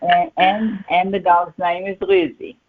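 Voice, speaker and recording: A woman author chats casually as an interview guest, telling things in a halting way and repeating words. The sound is narrow and tinny, like a telephone. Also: on the low side, flat delivery. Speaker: novelist guest being interviewed. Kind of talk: casual, anecdotal interview chat. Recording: tinny phone-line sound.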